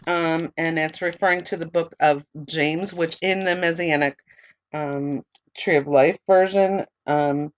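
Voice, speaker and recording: A woman teaching a Bible study, reading scripture aloud and then explaining it with many ums and restarts, her voice a little hoarse. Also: low voice, no echo, lo-fi sound. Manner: reads verses steadily, then talks loosely with many ums and restarts. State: losing her voice, a bit hoarse. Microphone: online recorder, computer setup.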